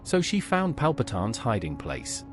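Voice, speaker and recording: A man plays a capable, straightforward adventurer hero from a fantasy game. He is helpful and encouraging, with extremely swooping intonation. Clear music plays behind him. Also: mid-range voice, wildly swooping pitch, a little slow. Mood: curious. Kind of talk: scripted video game dialogue.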